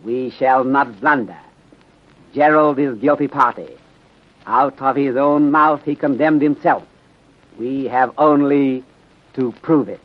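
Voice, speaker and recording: A muffled 1930s radio recording carries a man playing a wise Chinese detective inspector. He is courteous and formal, with a medium-pitched voice. His deliberate, clipped phrasing drops small words and leans toward proverbs. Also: natural voice. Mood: grave, resolute. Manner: deliberate clipped syntax dropping articles, formal, aphoristic. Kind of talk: 1930s radio drama acting.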